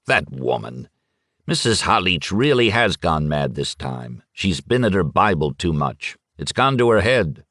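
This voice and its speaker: A man's voice: low-pitched, very animated, a bit bright, dry recording, studio quality, heavily acted. A man reading an audiobook and voicing a hot-tempered, scornful village husband who sneers and snaps impatiently. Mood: angry. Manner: impatient, sneering and quick to anger. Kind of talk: audiobook character voice.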